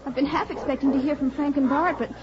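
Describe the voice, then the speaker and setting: A woman plays an earnest, pleading young lady in 1940s radio style. Her voice is high. The old recording is dull, with a loud crowd and dogs behind. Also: fairly even intonation, fast, lightly acted. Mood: anxious. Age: young adult.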